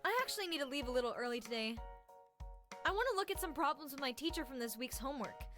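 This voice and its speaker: A woman voicing a peppy, teasing, studious younger schoolgirl in an amateur visual novel fandub, with a lively girlish manner. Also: high-pitched, fast, soft background music. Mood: upbeat.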